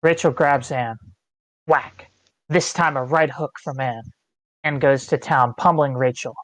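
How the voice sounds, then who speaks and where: A man with a medium-pitched voice narrates screenplay action in short stage directions. The sound is close and dry, as in an online table read. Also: steady tone, measured pace, fairly bright tone, no echo.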